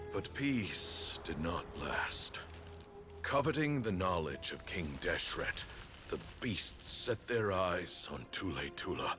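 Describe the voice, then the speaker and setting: A man playing a solemn old priest of an ancient desert temple, telling its history in a grave, ceremonial manner for a video game. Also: deep voice. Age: older. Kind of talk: dramatic scripted storytelling in a video game.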